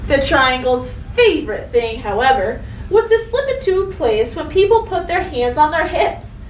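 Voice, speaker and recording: A woman schoolteacher giving a read-aloud lesson to young pupils, speaking instructively and directly, with expressive emphasis. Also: high-pitched, moderate pace. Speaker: elementary school teacher. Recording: roomy, distant mic with faint noise.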